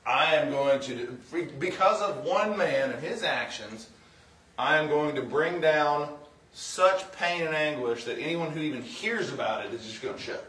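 A middle-aged man giving an informal, somewhat halting sermon as a guest preacher, mixing plain talk with scripture reading. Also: medium pitch, fairly bright tone. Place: church sanctuary, indoors. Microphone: far camera. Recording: roomy, distant from the microphone.

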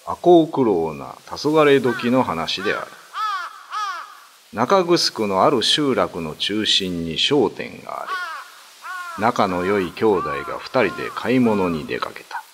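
Casting: low-voiced male narrator for a radio ghost drama, slow and grave, with very wide pitch swings. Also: long reverb tail, noisy background.

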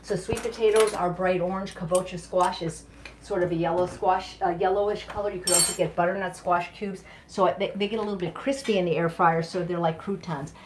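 A woman's voice: fairly low pitch, steady tone, fairly quick, noisy background. A middle-aged woman giving a casual live cooking demonstration as chef and host, in an enthusiastic, exclaiming manner. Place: home kitchen, indoors. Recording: clattery kitchen, dishes and clicks.

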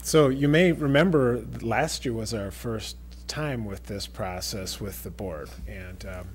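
Middle aged man at a desk mic walking the board thru budget steps, steady, faint hum. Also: lowish voice, a little slow. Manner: steady, explanatory. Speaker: district administrator. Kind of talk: budget presentation. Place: board meeting room.

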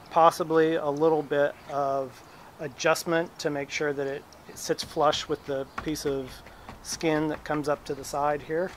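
A man's voice: a little high, a little hiss or hum. Chatty man working in a backyard. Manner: relaxed, chatty, many "uh" fillers. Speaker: DIY camper renovation vlogger. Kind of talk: casual explaining while working. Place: backyard outdoors. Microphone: handheld camera close up.

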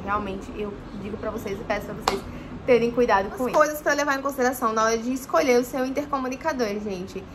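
A woman's voice: on the high side, fast, background noise. A young adult woman giving casual to-camera tips on motorcycling gear in her living room, chatty and full of fillers. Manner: chatty, often says "gente", many fillers. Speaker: motorcycling vlogger.

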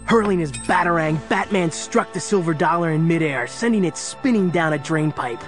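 An actor playing the dramatic storyteller of a superhero adventure, reading scripted narration. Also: male voice, on the high side, moderate pace, a bit bright, soft background music, natural voice.

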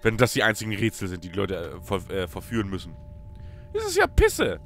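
A young man hosts a let's play in casual, chatty commentary. His voice is still hoarse from a recent cold. His pitch is lively and swings widely, from sudden loud outbursts down to quiet mumbling. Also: fairly quick, soft background music. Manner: animated, swings from loud bursts to low mutters.

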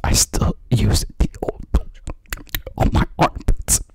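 A man's voice: slow pace, darkish tone, slight background noise. A young man doing a joking parody of ASMR in his bedroom, laughing and swearing into a handheld mic held close. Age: young adult. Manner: jokey, laughs often, swears. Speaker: creator of his own channel. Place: small bedroom. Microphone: handheld condenser mic held close, headphones.

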